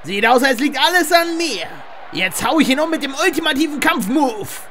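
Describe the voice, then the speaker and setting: A man voicing an excitable young male blue jay fighter in a cartoon fan dub, loud and exclamatory. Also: very high-pitched, moderate pace, exaggerated voice. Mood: fired up.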